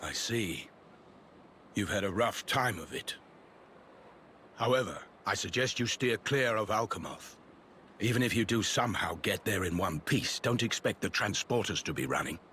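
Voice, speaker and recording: A man's voice: on the low side, animated, normal speed, bright, forceful tone, a little hiss or hum. A man playing a grave, war-weary guardsman in a video game, speaking solemnly. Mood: wary. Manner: grim, solemn, declarative. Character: grave, battle-weary male imperial guardsman. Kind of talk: video game cutscene acting. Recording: music behind the voice.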